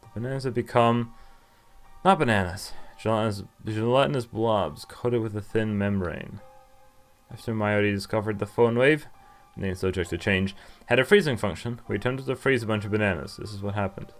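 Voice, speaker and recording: A young man with a lowish voice reads game text aloud and adds casual commentary. Soft game music plays behind him. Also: brisk. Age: young adult. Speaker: game streamer.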